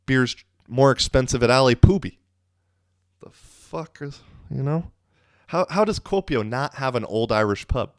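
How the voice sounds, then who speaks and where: A man's voice: low voice, smooth, dry recording, clean studio sound. A young man hosting a podcast, telling a loose, profane, rambling story in casual monologue, full of fillers. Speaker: podcast host.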